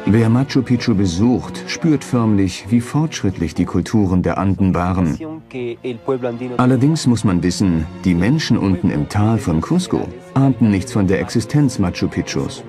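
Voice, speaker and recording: A man with a lowish voice comments as an expert in a documentary voice-over. Music is clearly audible underneath. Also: expressive, moderate pace. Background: background music.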